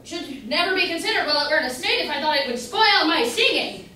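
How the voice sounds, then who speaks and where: A woman's voice: sits high, sing-song, quick pace, bright, forceful tone, heavily acted. A young woman playing a jolly, carefree old husband who breaks into song in an amateur staged play reading. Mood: merry, carefree. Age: young adult. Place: indoor room at a college staged reading. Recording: echoey hall from far off, noisy.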